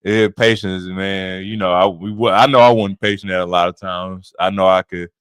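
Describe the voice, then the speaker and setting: Deep-voiced male co-host, earnest, repeats and stumbles, dry close mic. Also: steady tone, normal speed, fairly bright tone, clean tone, no echo. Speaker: podcast co-host. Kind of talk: casual podcast chat.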